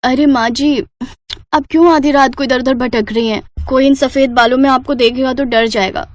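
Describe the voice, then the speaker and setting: Lazy newlywed daughter-in-law for cartoon comedy, played by a woman, high-pitched, whiny, insolent. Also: steady tone, moderate pace, dry recording, close to an everyday voice. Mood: sleepy, defiant. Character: lazy, cheeky young newlywed daughter-in-law who loves sleep. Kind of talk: Hindi comedy cartoon dialogue.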